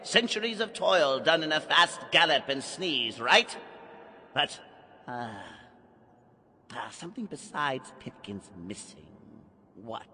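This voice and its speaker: Spooky, excitable ringleader for audio drama: raised pitch, measured pace, exclamatory and theatrical. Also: male voice, breathy edge, exaggerated voice. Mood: sly, playful. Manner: exclamatory, declamatory, urging. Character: excitable, theatrical male ringleader guide, eerie and gleeful.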